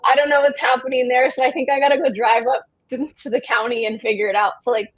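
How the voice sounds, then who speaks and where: A dry, close recording of a woman with a fairly high voice. She talks casually as a guest, loose and rambling, with a self-deprecating touch. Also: flat delivery, quick pace, no echo, rough recording. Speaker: interview guest, company co-founder. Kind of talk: casual personal chat.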